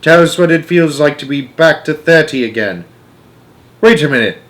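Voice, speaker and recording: Guy doing worked up old grandpa from a kids book, high n shouty. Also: lively intonation, normal speed. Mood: excited. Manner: shouting, agitated, exclamatory. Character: excitable old grandfather, flustered and alarmed about his wife.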